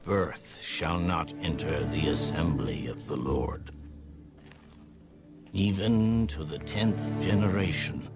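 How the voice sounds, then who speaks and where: Deep, slow male scripture narrator. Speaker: audio Bible narrator. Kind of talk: solemn scripture reading. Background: music.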